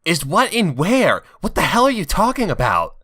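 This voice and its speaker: Guy doing a sassy annoyed cartoon bat lady, scolding, pitched up and sharp. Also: wildly swooping pitch, normal speed, husky, exaggerated voice. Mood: exasperated. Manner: indignant, scolding. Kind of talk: mocking fan fiction dramatic reading.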